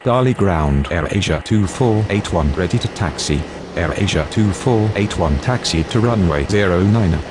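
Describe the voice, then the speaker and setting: Deep man acting as airline radio voice, noisy. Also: animated, normal speed, hardly any pauses. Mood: businesslike. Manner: formal, procedural. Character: deep procedural male airline pilot and controller in a game. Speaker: air traffic radio voice. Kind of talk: clipped aviation radio phraseology.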